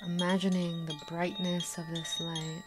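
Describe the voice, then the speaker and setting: A woman guiding a meditation in a soothing, unhurried manner, leaving long pauses between short instructions. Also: lowish voice, little pitch movement, very noisy. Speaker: meditation guide. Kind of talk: guided meditation narration with slow instructions. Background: singing bowls and chimes.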